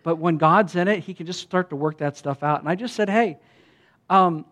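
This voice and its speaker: Higher-voiced American pastor telling a story. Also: male voice, moderate pace, fairly bright tone. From United States. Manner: conversational, acts out quoted dialogue. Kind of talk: sermon storytelling.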